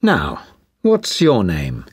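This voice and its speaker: A woman playing an examiner in a speaking test, asking each question slowly and clearly. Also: extremely low pitch, wildly swooping pitch, darkish tone, no echo, studio quality. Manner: slow, clearly spaced questions. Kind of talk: scripted exam interview.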